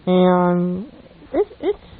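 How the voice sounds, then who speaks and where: A man hosting a casual radio chat about old-time radio, speaking haltingly with repeated words and restarts. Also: high voice, very animated. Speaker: radio show host. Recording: tinny, narrow phone-like radio line.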